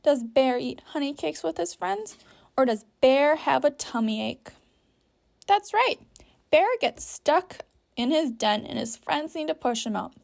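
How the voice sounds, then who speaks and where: A woman's voice: high-pitched, animated, a little slow, gravelly, darkish tone. A woman teacher guiding young children through a story activity step by step, patiently, with simple sentences and guiding questions. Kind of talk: instructional read-aloud for young children, step-by-step.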